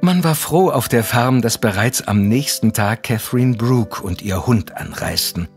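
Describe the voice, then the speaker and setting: A man narrating an audio drama as a measured storyteller. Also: mid-range voice, animated, a little mellow. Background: music with harp.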